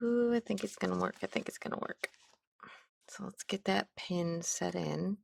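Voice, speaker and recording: A woman's voice: lowish voice, moderate pace, gravelly, darkish tone, dry recording. A middle-aged woman with a Midwestern accent, chatting as she demonstrates a craft, rambling and trailing off mid-sentence. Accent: Midwestern American. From American Midwest. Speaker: craft instructor. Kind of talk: casual crafting tutorial.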